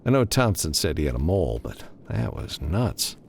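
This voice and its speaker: A man's voice: rumbling low, big pitch swings, moderate pace, a little mellow, a little hiss or hum. A man voicing a weary, sardonic space cowboy loner in an audiobook, grumbling dryly. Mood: dry, disbelieving. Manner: grumbling, dry.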